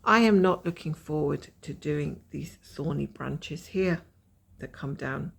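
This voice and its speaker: A woman with a croaky voice from a cold, chatting casually and rambling as she shows her cross-stitch progress from home. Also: low-pitched, measured pace. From Scotland. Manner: rambling, chatty, self-mocking.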